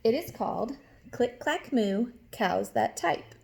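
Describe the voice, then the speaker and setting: A woman teacher reading a storybook aloud to young children, expressively, pausing to explain and ask questions. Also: fairly high pitch, animated, creaky, darkish tone.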